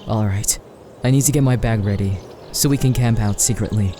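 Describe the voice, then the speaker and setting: A man's voice: on the low side, fairly quick, warm tone, a little hiss or hum, close to an everyday voice. A man playing a protective, worried father defending his forest home in a fantasy audio drama, earnest and practical. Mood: concerned.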